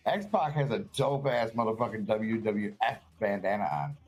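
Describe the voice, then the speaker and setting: A man's voice: lowish voice, normal speed, faint music behind. A man giving casual, slangy and sometimes profane wrestling commentary as a guest co-commentator.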